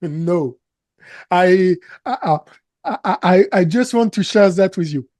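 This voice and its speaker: A man's voice: high voice, expressive, a little mellow, dry recording. A man with a French accent and French as his first language, a business coach speaking earnestly as an interview guest. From Canada. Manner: slow, earnest, emphatic, with non-native grammar slips. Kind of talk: interview conversation.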